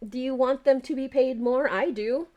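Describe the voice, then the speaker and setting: A woman hosting a casual livestream chat, excitable and giggly, often repeating phrases for emphasis. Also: high-pitched, normal speed, lo-fi sound. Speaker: podcast creator and stream host.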